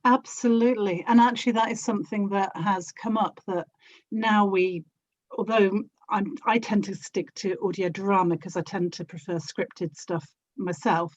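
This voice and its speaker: A woman guest talks in a relaxed, conversational interview style. She has a South London accent and a middling pitch. The recording is a little muffled and lo-fi. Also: normal speed. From South London, England. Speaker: interview guest, audio drama actor and producer.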